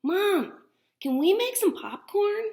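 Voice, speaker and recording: An eager, curious young storybook boy, acted out by a woman reading aloud. The voice is raised high and animated, exclaiming with excitement. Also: slow, exaggerated voice.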